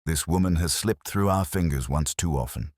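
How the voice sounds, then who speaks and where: A man voicing a gruff, wary male police inspector in an audiobook. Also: very deep voice, normal speed, a little mellow, no echo, clean studio sound. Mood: grim. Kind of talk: audiobook character voice.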